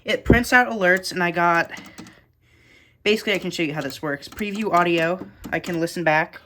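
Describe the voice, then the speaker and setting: A woman's voice: fairly low pitch, normal speed, a bit bright, a little hiss or hum. A woman giving a casual, matter-of-fact show-and-tell of a hobby collection in short, clipped phrases, recorded indoors at home.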